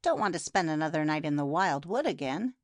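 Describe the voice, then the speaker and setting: A woman's voice: deep voice, lively intonation, a little fast. A woman as a restless, fretful water rat. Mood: anxious. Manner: urgent, fretful. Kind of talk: dramatised audiobook of classic fiction.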